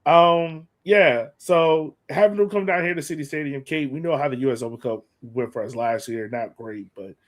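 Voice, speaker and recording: A man's voice: on the high side, normal speed, few pauses. An American man chatting casually as co-host of a sports podcast.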